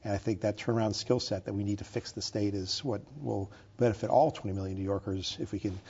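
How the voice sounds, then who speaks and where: A man from upstate New York, running for governor, speaks in confident and polished talking points. He talks quickly, with a husky voice that stays flat in pitch. The audio sounds somewhat dull. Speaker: candidate for governor. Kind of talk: campaign interview.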